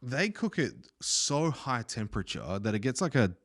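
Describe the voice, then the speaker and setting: A man's voice: mid-range voice, big pitch swings, normal speed, a bit bright, no echo, clean studio sound. A man hosting a podcast in casual, crude, joking chat, swearing freely. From Australia. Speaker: podcast host. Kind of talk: casual profane interview chat.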